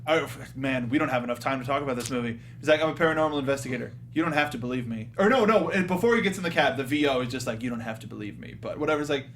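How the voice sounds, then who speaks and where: A man's voice: mid-range voice, lively intonation, racing, hardly any pauses, fairly bright tone. A man co-hosting a casual, comedic film discussion, quoting lines with mock exasperation. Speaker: co-host of a film review show. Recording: faint background noise.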